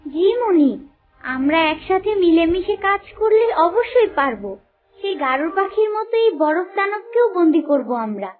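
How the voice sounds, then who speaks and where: A woman's voice: very high-pitched, big pitch swings, normal speed, phone-quality sound, soft background music. A woman voicing a loyal, helpful talking horse in a cartoon, respectful and reassuring toward its master. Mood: eager, reassuring. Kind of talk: Bengali cartoon dubbing.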